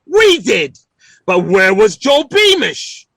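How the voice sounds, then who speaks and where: A blustering working-class family man in old-time radio comedy style. His voice is bright and swings widely in pitch, captured dry and close. He stammers through folksy, ungrammatical sentences and is quick to take offense. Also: huge pitch swings, creaky, dry recording, heavily acted. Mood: indignant.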